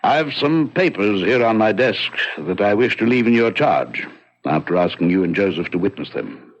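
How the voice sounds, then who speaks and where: A man's voice: on the low side, expressive, normal speed. An actor playing a proud, powerful English nobleman in an old radio drama, formal and clipped, brusque and haunted, with an English accent. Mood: grimly composed. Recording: dull, lo-fi vintage radio drama.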